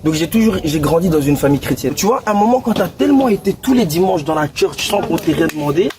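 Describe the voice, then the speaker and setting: A man in his twenties from Belgium, a rapper talking in a relaxed, slangy way with lots of restarts and fillers. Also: a little high, fast talker. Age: 20s. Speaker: guest rapper. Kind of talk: casual interview. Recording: distant mic with clear background noise.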